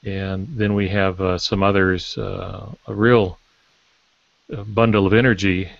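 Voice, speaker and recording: An older man chatting casually as a podcast guest, telling anecdotes and pausing to search for words. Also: deep voice, little pitch movement, gravelly. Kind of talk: casual panel talk, anecdotes. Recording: dull lo-fi roomy online audio.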